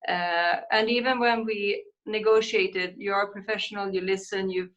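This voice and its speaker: A woman with a Swedish accent, an ambassador answering on a webinar panel, slow and halting with many fillers. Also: medium pitch, fairly bright tone, poor audio. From Sweden.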